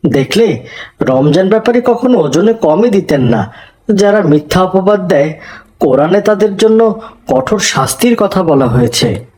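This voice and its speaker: Actor as pious village uncle, cartoon, middle-pitched, fair-minded, measured, moralising. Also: expressive, darkish tone, natural voice. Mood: earnest, admonishing. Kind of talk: cartoon voice acting.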